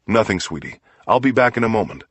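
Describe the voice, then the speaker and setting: A man voicing a smooth, self-righteous preacher's son and family man in an audiobook, folksy and pleading. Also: on the low side, animated, quick pace, fairly bright tone, no room sound, pitched above the performer's own voice. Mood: reassuring.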